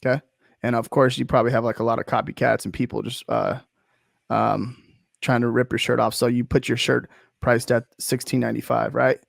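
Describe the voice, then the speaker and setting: A man with a gravelly, creaky voice at an ordinary pitch hosts a relaxed video-call interview. He rambles, restarts his sentences and leans on fillers. The sound is dry and close. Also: a little fast, dry recording. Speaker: host.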